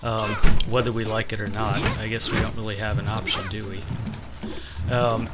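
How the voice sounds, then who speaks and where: A man's voice: on the low side, flat delivery, normal speed, loud music behind the voice. A man giving casual, sardonic gameplay commentary with a complaining streak. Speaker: let's play gamer.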